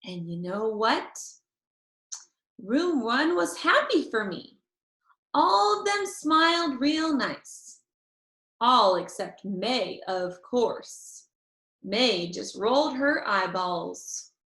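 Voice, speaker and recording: A woman reading a children's chapter book aloud in an expressive, deliberate storytelling manner. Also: fairly high pitch. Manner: animated, deliberate storytelling with drawn-out phrases. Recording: roomy, echoey sound.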